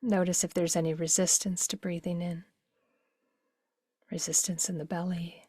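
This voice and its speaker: A woman leading a guided meditation in a gentle, unhurried, soothing way, with phrases that soften into breathiness. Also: lowish voice, flat delivery, breathy edge, dry recording, studio quality.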